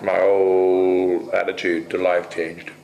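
An older man originally from the Netherlands, being interviewed at home and talking bluntly, with plenty of swearing and pauses. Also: deep voice, monotone, vocal fry, echoey room, dull audio. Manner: blunt, salty, rambling with many pauses. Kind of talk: casual interview. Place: kitchen at home, indoors.

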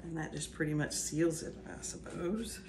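Middle-aged lady walking thru a recipe, kinda unsure of herself, low-ish voice, roomy noisy kitchen mic far away. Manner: unhurried, self-doubting asides, lively pitch. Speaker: home cooking vlogger. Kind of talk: casual cooking demonstration. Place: home kitchen, indoors. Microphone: far camera. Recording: echoey kitchen, camera far off, background noise.

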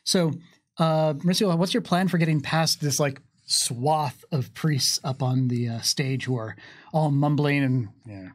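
An American man in his thirties running a tabletop game, narrating and explaining rules in an animated way. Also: a little high, a little slow. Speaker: game master. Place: small curtained studio, indoors. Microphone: desk microphone on boom arm.

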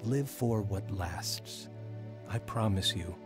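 A man's voice: lowish voice, slow. A man playing an earnest, repentant middle-aged father narrating his life story in an American radio drama. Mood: earnest, urging. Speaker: lead actor narrating his testimony. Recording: music bed under the voice.

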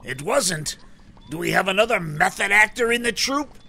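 A man's voice: high-pitched, expressive, moderate pace, creaky, noisy background. A man playing an exasperated, pompous theater director in a comedy audio drama, theatrical and grandly indignant. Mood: peeved.

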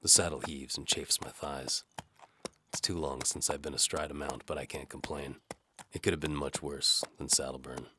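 A man narrating a first-person noir-style audio drama in a wry, deadpan manner. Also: very deep voice, normal speed, slight background noise.

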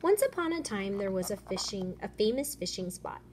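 Animated woman reading a picture book aloud. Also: medium pitch, normal speed. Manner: animated storytelling for children. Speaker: elementary school teacher reading aloud. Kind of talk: expressive children's picture book read-aloud. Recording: noisy room ambience.

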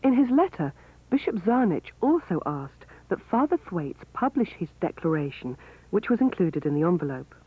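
Documentary narrator over a thin, phone-like line. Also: male voice, high voice, sing-song, normal speed, breathy edge. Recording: phone-like band, faint hiss.

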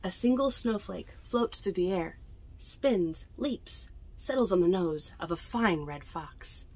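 A young woman reads picture books aloud to children in an unhurried storytelling manner, adding chatty asides about the pictures. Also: lowish voice, animated, dark tone, slightly rough, rough recording. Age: young adult. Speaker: library storytime reader. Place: indoors among bookshelves in a small library bookmobile.

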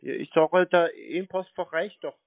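A man's voice: high voice, steady tone, normal speed, no room sound. A man arguing casually on a phone call in a matter-of-fact, contradicting way. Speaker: scam-baiter taking a call. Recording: narrow phone-like sound, dry.

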